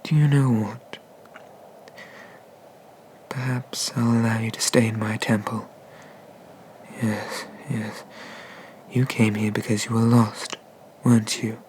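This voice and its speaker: A man voices an ancient dragon god for an ASMR roleplay. He is haughty and condescending yet merciful, very slow and measured, with little rise or fall in pitch, slipping into breathy whispers. Noticeable ambient noise sits behind the voice. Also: flat delivery, darkish tone, poor audio. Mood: imperious, inviting. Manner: slow, deliberate, formal speech with murmured, breathy whispers.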